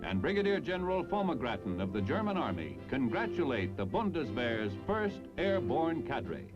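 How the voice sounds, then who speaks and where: Animated American newsreel man, vintage muffled sound. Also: medium pitch, normal speed, loud music behind the voice. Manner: jaunty, polished announcer delivery with playful wording. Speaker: newsreel narrator. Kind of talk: 1950s-60s military newsreel narration, scripted.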